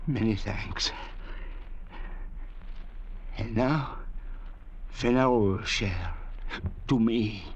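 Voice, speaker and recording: An actor playing a cunning, greedy castaway with a mock-courteous, gloating manner in an old-time radio drama. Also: male voice, fairly low pitch, drawn-out words, long pauses, highs cut off. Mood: menacing. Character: cunning, greedy, menacingly polite male castaway. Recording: vintage radio recording with faint noise.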